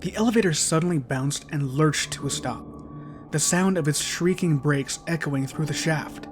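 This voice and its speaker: A man narrates a short horror tale in the first person. His voice sits a little higher than most men's, and he delivers it with suspense and theatrical drama. A soundtrack plays clearly behind him. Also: normal speed. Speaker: storyteller reading short horror fiction. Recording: music behind the voice. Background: clear background soundtrack music.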